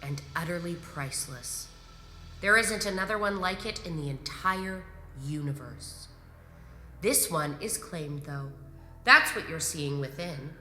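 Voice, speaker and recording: An actress playing a composed, commanding imperial grand marshal in a sci-fi audio drama, measured and probing. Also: female voice, low voice, lively intonation, sharp tone, full character voice. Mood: ominous, measured. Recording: echoey hall reverb, music underneath.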